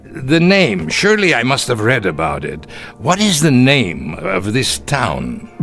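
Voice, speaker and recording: A man's voice: mid-range voice, wildly swooping pitch, unhurried, a bit bright, soft background music. An actor playing a smug, evasive aging German man who poses as an innocent tourist, genteel and hesitant, in radio drama style. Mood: coy, probing. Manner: affectedly genteel, hesitant, coy. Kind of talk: old-time radio drama acting.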